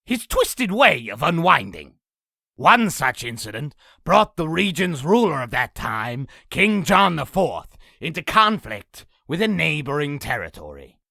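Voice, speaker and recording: A man playing a sinister, boastful old demon in a comic dub, telling tales in a raspy, gravelly, theatrical voice. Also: fairly high pitch, sing-song, a little slow, sharp tone, dry recording, studio quality, full character voice. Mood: ominous storytelling. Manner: theatrical, grandiose storytelling. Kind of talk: comic dub villain acting.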